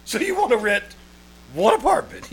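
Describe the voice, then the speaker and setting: A man plays an excitable real estate agent in old-time radio comedy style. The voice leaps up and down with a creaky edge. He is fussy and theatrical, repeating words as he gets flustered. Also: very high-pitched, normal speed, heavily acted. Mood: glib, chatty. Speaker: actor in a radio troupe.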